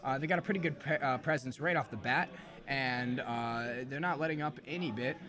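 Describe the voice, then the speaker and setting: A slightly hoarse man hosting a live esports stream, commentating casually with informal, joking banter. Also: medium pitch, fairly quick, crowd noise.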